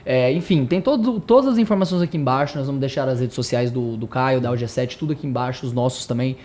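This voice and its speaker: A man's voice: mid-range voice, quick pace, a little mellow. A man from Brazil hosting a podcast, closing the episode in a friendly, upbeat way. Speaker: podcast host. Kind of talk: casual conversation and outro.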